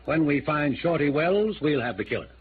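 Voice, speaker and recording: A man's voice: a little high, animated, moderate pace. A man playing a pompous, easily indignant small-town mayor in an old-time radio drama, formal and emphatic. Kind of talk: mid-century American radio drama acting. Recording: tinny, noisy vintage radio recording.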